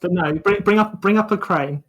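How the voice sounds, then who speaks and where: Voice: medium pitch, normal speed, crowd noise. A young adult co-hosts a livestream in casual, informal chat with playful banter. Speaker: co-host.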